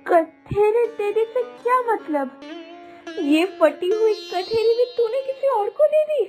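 Woman playing a high-voiced worried village mother. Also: expressive, slow pace, a little mellow, heavily acted. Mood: worried scolding. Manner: questioning, fretful. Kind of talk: Hindi cartoon moral story acting. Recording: music behind the voice.

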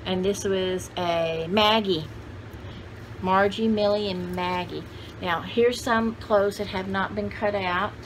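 A woman's voice: mid-range voice, fairly even intonation, slow. A woman in her sixties chatting casually to camera, rambling and thinking aloud as she shows things to viewers. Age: 60s. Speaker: vlogger showing vintage paper dolls. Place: indoor room at home with cluttered shelves. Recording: clearly noisy home video sound.

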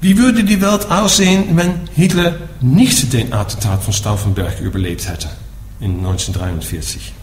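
A man's voice: mid-range voice, normal speed, few pauses. Dutch guy teaching buddhism in german, echoey room, bit of hiss, keeps saying ja?. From Netherlands. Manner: explaining, asks "ja?" after nearly every point. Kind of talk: dharma talk to a group. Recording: echoey room with faint background noise.

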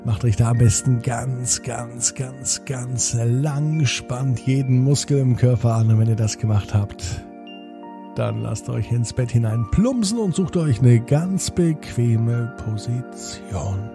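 A man's voice: mid-range voice, music in the background. A man hosting a children's podcast and narrating a bedtime story, speaking directly to young listeners. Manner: addresses young listeners directly, drawn-out repeated words.

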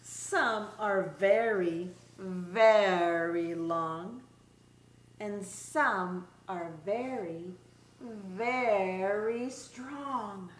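A middle-aged woman reads a picture book aloud for children's story time, expressively and deliberately, drawing out her words. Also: mid-range voice. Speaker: special education teacher reading a picture book aloud. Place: small indoor room set up as a classroom. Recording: roomy echo, background room noise.

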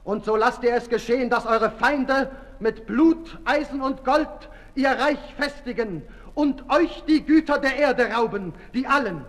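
An actor in a 1960s radio play voicing an embittered, accusing revolutionary orator with declamatory delivery. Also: male voice, very high voice, unhurried, fairly bright tone. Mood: urgent accusing. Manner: rhetorical, declamatory. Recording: reverberant hall, 1960s radio recording.

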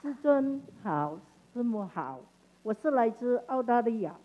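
A woman's voice: on the high side, very animated, a little slow, highs cut off, a little hiss or hum. A woman giving a short, hesitant self-introduction on a passed microphone. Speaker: visiting devotee.

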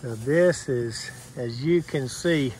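A man of middle pitch talks slowly and plainly as an HVAC technician, pointing things out step by step. He is outdoors beside air conditioner units, recorded on a handheld phone camera. Faint outdoor noise sits behind his voice. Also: a little mellow, poor audio. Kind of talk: casual on-site explanation to camera.

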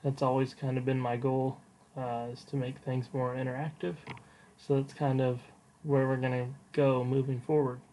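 A male podcast host talks casually and off the cuff on his own, with a halting, informal delivery. His mid-pitched voice is soft-edged and mellow and stays quite even in tone. There is slight noise behind him. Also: low-quality recording. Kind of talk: casual solo podcast update.

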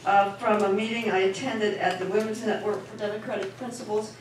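A woman's voice: medium pitch, flat delivery, fairly quick, slight background noise. An older woman from Northern Kentucky, speaking at a meeting for the first time in a friendly, rambling way. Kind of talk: informal remarks at a meeting. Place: large meeting hall indoors. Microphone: handheld mic, recorded from far camera. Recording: echoey hall from far off.

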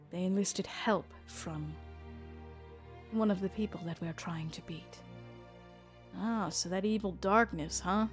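Woman acting as thoughtful anime adventurer, young female, unhurried, pausing, hesitant phrasing, soft music behind. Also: medium pitch. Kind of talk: anime fan audio drama acting.

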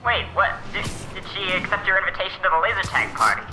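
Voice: medium pitch, very animated, fast talker, over music, close to an everyday voice, poor audio. A performer voicing a childish, earnest middle-aged male scientist who stammers and whines in an improvised comedy game dub. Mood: indignant.

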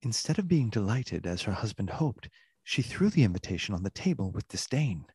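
A narrator with a husky voice, reading a literary tale as scripted narration in a radio play. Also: deep voice, sing-song, brisk, darkish tone, dry recording, heavily acted. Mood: measured.

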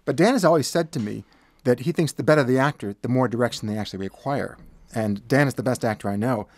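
A man's voice: mid-range voice, fast, no room sound. An older man speaking casually in an interview as an audiobook director, recorded in a small studio.

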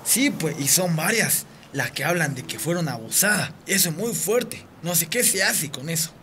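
A principled teenage boy in a radio drama, earnest and Bolivian-accented. His voice is highish and bright, over faint outdoor noise. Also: expressive, normal speed. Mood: concerned, eager.

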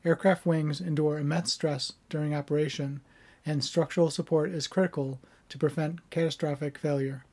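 Middle-aged man, flat even narration. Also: on the high side, normal speed. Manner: somewhat monotone delivery. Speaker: history video creator. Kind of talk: casual intro, then scripted narration. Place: home room with bookshelves.

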